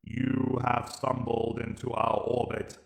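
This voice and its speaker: A man voicing a cold, slow alien machine emperor that talks in a flat, deliberate monotone. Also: mid-range voice, vocal fry, echoey room.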